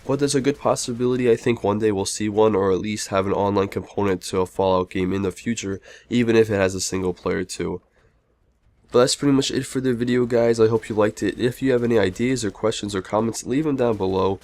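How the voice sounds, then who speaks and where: A man's voice: on the low side, brisk, a little mellow, no echo. A man hosting a gaming video in a casual narration, sounding a little sick. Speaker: video host.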